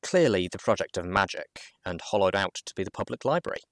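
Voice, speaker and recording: A man reading fan fiction aloud in an expressive storybook narration style. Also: sits low, fairly quick, no echo, studio quality.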